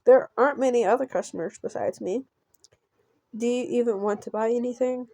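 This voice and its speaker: A woman's voice: on the high side, a little fast, warm tone, no room sound, clean studio sound. A woman voicing a sarcastic, exasperated young store clerk, reading visual novel dialogue aloud.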